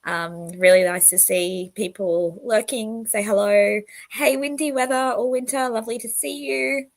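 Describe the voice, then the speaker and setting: A woman in her thirties with a croaky, sick voice, chatting casually with her livestream viewers and greeting them by name. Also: fairly high pitch, fairly even intonation, a little slow. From Australia. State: croaky voice from illness. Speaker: livestream host. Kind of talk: casual livestream talk to chat.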